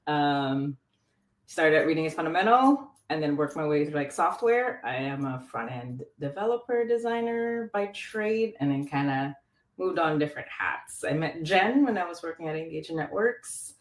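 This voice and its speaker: Podcast guest: low, chatty woman from the Philippines, lots of fillers, echoey room. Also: animated, normal speed. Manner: chatty, lots of "like" and trailing restarts. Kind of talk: casual interview chat. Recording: roomy echo.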